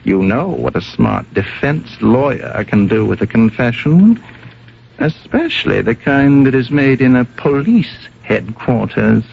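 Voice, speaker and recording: A man playing a worldly, argumentative fellow in an old-time radio drama, gravelly, emphatic and persuasive, with a sardonic edge. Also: medium pitch, lively intonation, measured pace. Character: worldly, argumentative man reasoning with police captain. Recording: noisy, dull vintage radio recording.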